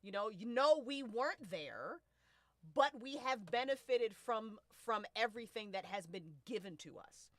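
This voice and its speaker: A woman's voice: on the high side, animated, measured pace, no echo. An American woman around thirty chatting casually as a podcast host, with emphatic, slangy, sweary phrasing. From United States. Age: late 20s to early 30s. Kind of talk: casual panel chat.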